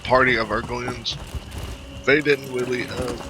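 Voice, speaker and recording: A man giving casual, rambling game commentary, halting and repeating his words as he goes. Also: mid-range voice, flat delivery, noisy background. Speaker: gaming video host. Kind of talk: casual game commentary and reading quest text aloud. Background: video game music.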